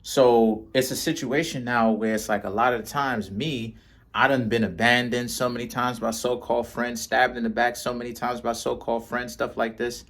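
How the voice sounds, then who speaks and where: A man's voice: mid-range voice, steady tone, normal speed, fairly bright tone. A man, talking casually to the camera in a rambling way, often tagging his lines with "right?". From Trinidad and Tobago, raised in New York City. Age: 30s. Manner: rambling, often tags lines with "right?" and "you know what I'm saying". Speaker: tarot reader and vlogger.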